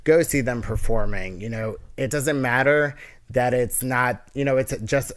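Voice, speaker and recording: A man's voice: medium pitch, unhurried. A man with a nasal voice, a guest on a casual podcast, talking earnestly in a rambling way with many restarts. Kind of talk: casual interview chat.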